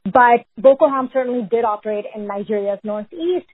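A woman from Pakistan, a researcher answering interview questions in a measured way, often hedging with "sort of" and "if you will". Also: on the high side, expressive, slightly rough. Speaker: researcher and guest. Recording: phone-like remote line.

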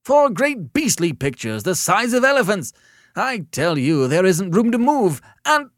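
Shrill, hysterical complainer. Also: male voice, very animated, a little slow, vocal fry, a bit bright, no room sound, studio quality, heavily acted. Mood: exasperated. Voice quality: shrill, wailing. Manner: agitated, emphatic, unburdening himself. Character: querulous, hysterical man novelist complaining on the telephone.

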